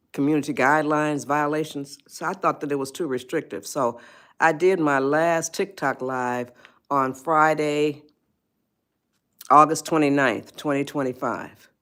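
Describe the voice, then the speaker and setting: A woman's voice: sits low, unhurried, fairly bright tone. A woman of eighty-one, hosting a casual live stream, chatty and rambling, often laughing and greeting viewers. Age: 80s. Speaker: livestream host.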